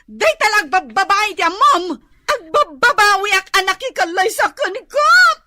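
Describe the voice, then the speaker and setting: An actress with an Ilocano accent playing a furious wife who scolds and shrieks tearfully in a comedy radio drama. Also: female voice, extremely high pitch, very animated, moderate pace, a crowd in the background.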